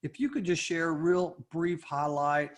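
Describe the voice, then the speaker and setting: A man hosting a leadership podcast, asking questions haltingly with many fillers and a friendly enthusiasm. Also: fairly high pitch, steady tone. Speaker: podcast host. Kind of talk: podcast interview.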